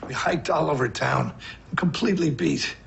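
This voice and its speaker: A man's voice: a little high, moderate pace, gravelly, fairly bright tone. An actor playing a genial, wryly grumbling man in naturalistic TV film dialogue. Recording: some background noise.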